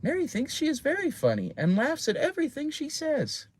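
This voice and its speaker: A man's voice: extremely high pitch, wildly swooping pitch, a little fast, voice pushed up, heavily acted. A man voicing a worried, proper mother complaining about her rude daughter.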